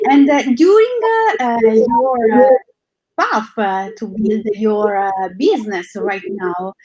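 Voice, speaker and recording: A woman's voice: fairly high pitch, animated, takes it slowly, warm tone, muffled recording. A woman hosting an interview, chatting casually and asking questions with many fillers. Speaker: interview host.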